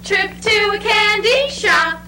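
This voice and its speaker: A woman's voice: extremely high pitch, expressive, takes it slowly, bright, forceful tone, soft background music, full character voice. A woman playing a perky 1930s child film star in a cutesy sing-song, on a noisy stage recording. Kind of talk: child star impression in readers theatre.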